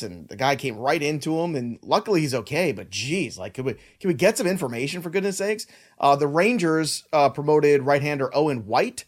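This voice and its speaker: A man hosting a sports podcast, talking in a casual, reflective way with lots of filler words. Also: a little high. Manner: reflective, rambling with many "you know" fillers. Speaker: podcast host.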